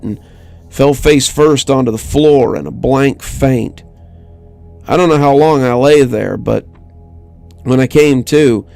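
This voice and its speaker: A man reading a scripted horror story in a measured, dramatic manner as the narrator. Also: mid-range voice, gravelly, darkish tone. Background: music bed.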